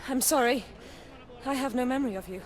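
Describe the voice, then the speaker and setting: An actress playing a young amnesiac memory hunter in a video game, guarded, lost and uncertain. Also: female voice, sits high, fairly quick, lightly acted. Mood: lost, subdued. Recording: loud music behind the voice.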